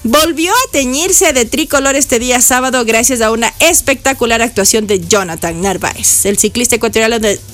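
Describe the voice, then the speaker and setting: A woman's voice: fairly high pitch, animated, moderate pace, few pauses, a bit bright. A woman who hosts a radio show and reads the news casually, with playful teasing asides. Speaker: radio host. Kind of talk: chatty news commentary.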